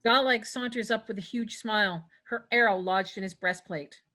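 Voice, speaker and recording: Bright-voiced woman reading stage directions. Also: medium pitch, moderate pace. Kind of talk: scripted narration at a table read.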